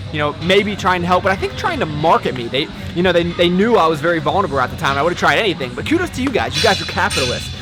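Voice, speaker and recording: A young man talking casually to his vlog audience, upbeat and smiley, rambling with frequent restarts as he gives his opinions. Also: high voice. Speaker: fitness and cooking vlogger.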